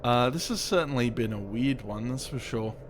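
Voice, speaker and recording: A man's voice: medium pitch, animated, normal speed, slight background noise. A man doing casual, rambling game commentary in an understated, offhand way, sometimes reading text aloud as he plays. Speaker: livestreamer playing a game. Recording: creepy ambient music behind. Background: creepy ambient game music.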